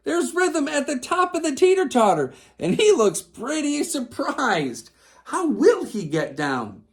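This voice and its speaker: Very animated woman reading to children. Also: mid-range voice. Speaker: children's storybook reader. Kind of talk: animated read-aloud narration for children.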